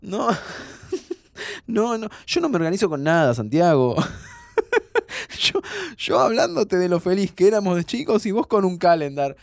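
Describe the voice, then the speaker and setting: An actor playing a playful, impulsive young man with an Argentine accent in an informal, expressive audio drama. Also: high-pitched, a little slow, gravelly, pauses often, dry recording, studio quality, exaggerated voice. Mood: playful, teasing. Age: young adult. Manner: informal, expressive, breaks into restarts. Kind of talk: naturalistic audio fiction dialogue.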